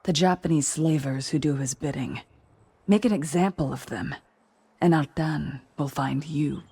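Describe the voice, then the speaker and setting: A woman's voice: low-pitched, measured pace, vocal fry, mellow, husky, dry recording, exaggerated voice. An actress playing a bitter, accusing female innkeeper, a hardened survivor in a dramatic video game. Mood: cold, warning.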